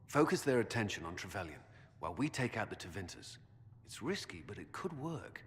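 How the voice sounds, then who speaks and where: Stern low-voiced commander in a hall. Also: male voice, very animated, fairly quick, background noise. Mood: grave, conceding. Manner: firm, blunt. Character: stern, cautious male army commander. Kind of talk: video game voice acting. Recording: reverberant stone hall.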